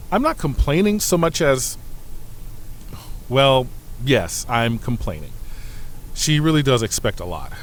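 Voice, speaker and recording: A man playing an earnest starship aide in a science fiction audio drama, confiding and grumbling mildly as if in a personal letter. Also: mid-range voice. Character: earnest male starship aide to a demanding ambassador.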